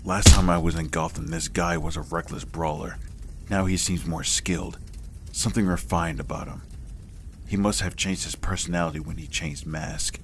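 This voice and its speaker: A man's voice: low-pitched, steady tone, moderate pace, fairly bright tone, a little hiss or hum. A man voicing a hard-bitten, grim vigilante in a comic dub, speaking tersely and wearily. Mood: appraising. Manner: terse, grim, world-weary. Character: hard-bitten male gun-toting vigilante ex-soldier type. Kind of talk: comic dub dramatic narration.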